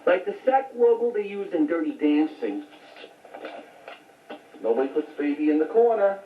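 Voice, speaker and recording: A woman's voice: fairly low pitch, big pitch swings, normal speed, slight background noise. A woman giving a casual, unscripted show-and-tell of a home collection in a rambling, matter-of-fact way. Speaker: home video collector showing recent finds. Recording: tinny phone-like audio, echoey, distant mic.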